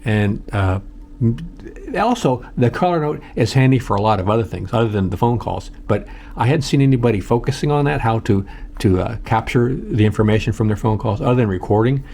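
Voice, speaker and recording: An older American man giving a casual, unscripted tutorial in a halting, plain-spoken way, often repeating himself. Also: medium pitch, animated, creaky, warm tone, slight background noise. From United States. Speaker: host of a how-to video channel.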